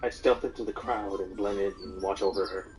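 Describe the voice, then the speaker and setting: A man's voice: sits low, fairly even intonation, brisk, music in the background. A man chatting casually as a player in a tabletop role-playing game.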